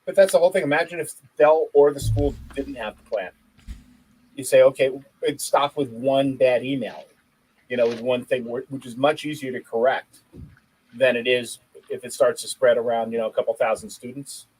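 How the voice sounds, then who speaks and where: A man co-hosting a tech podcast, chatting casually and telling a story in an animated, joking way. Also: mid-range voice, moderate pace, breathy edge, rough recording. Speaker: podcast co-host. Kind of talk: casual chat.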